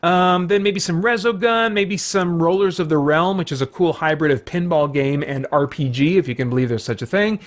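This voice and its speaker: A man's voice: a little high, moderate pace, hardly any pauses. A man who hosts a gaming channel, talking casually and enthusiastically in a playful, rambling, conversational way. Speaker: video game streamer and channel host. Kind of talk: casual enthusiastic vlog talk about games.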